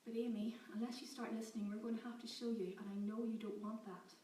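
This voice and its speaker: A gentle, patient woman captor, as a narrator puts her on, speaking softly and kindly. The voice sits in a roomy space, a little off the mic, with faint hiss. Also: a little high, level tone, fast, far from the mic, lightly acted. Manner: soft-spoken, patient, kind.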